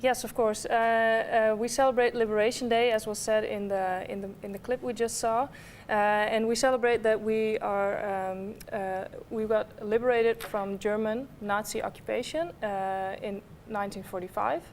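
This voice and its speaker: A woman's voice: on the high side, steady tone, normal speed. Twenties woman, hesitant studio guest, faint noise behind. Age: 20s. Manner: hesitant, with fillers. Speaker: festival board member guest. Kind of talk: studio interview. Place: small studio set.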